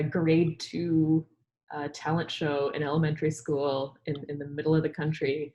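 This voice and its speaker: A woman's voice: low-pitched, a little mellow, low-quality recording. A young woman, a native Urdu speaker, answering interview questions on a video call with an upbeat, storytelling manner and frequent ums. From Pakistan. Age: young adult. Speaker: guest scientist. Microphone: headset on video call.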